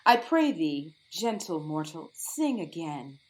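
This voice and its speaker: A woman's voice: sits low, very animated, takes it slowly, music in the background, exaggerated voice, rough recording. An actress playing a lovestruck, commanding fairy queen, grand and declamatory in a Shakespearean audio play. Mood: enamoured. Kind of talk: theatrical Shakespearean audio drama acting.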